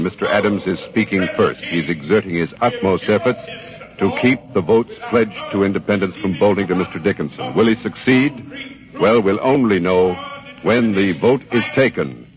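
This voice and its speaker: A deep American male announcer played by an actor reports formally in vintage radio style. He is measured and authoritative, drawing out suspense. The sound is dull and old. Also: lightly acted. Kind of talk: 1940s radio news commentary, dramatized.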